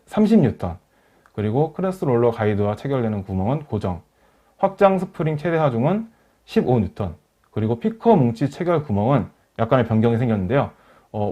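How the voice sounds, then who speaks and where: Muffled man presenting a software tutorial. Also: medium pitch. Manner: polite, formal explanatory delivery. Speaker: webinar presenter, engineering software instructor. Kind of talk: software tutorial narration over screen demo. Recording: dull lo-fi voice recording.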